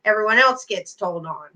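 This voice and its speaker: A woman's voice: mid-range voice, lively intonation, measured pace, pushed and bright, low-quality recording. A middle-aged woman hosting a casual livestream, chatting to viewers by name with endearments. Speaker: YouTube livestream host.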